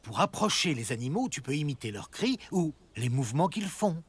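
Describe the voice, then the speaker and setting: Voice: medium pitch, sing-song, moderate pace, a little hiss or hum, natural voice. An actor playing a friendly grown-up villager in a French children's cartoon, encouraging and instructive. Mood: surprised, encouraging. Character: friendly grown-up villager giving kindly advice.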